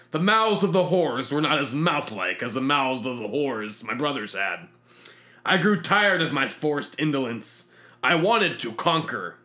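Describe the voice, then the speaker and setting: Man, medium pitch, bright forceful tone, pompous theatrical delivery, scripted comic sci-fi narration. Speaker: narrator reading a fictional diary.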